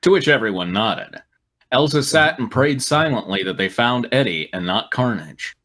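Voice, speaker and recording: Man reading a story with lively pitch. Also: normal speed. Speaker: reader on a fan fiction comedy show. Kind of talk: story read aloud with mocking asides.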